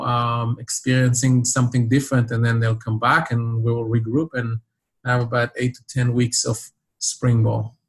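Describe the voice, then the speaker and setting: A middle-aged man from Israel, a college volleyball coach, talking reflectively in an interview with many fillers and restarts. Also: medium pitch.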